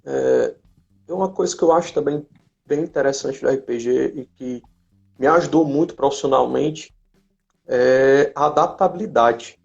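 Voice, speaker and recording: A man's voice: medium pitch, very animated, moderate pace, gravelly, a little mellow. A man with a Brazilian accent talking casually as he hosts a live online chat. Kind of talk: casual conversation. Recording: dull lo-fi live-stream audio.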